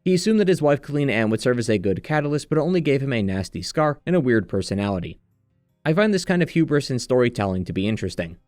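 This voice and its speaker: A man reading scripted narration in a measured, earnest way, like a video essayist walking through a story retrospective. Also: medium pitch, sing-song, a little mellow, no echo, clean studio sound. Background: background music.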